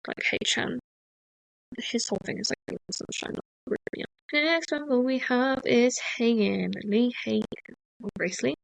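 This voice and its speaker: A woman hosting a podcast, talking in a rambling, hesitant way with many fillers and restarts, and deliberately speaking a little slower than usual. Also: fairly high pitch, vocal fry, no echo, rough recording. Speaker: podcast host. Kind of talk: casual rambling monologue.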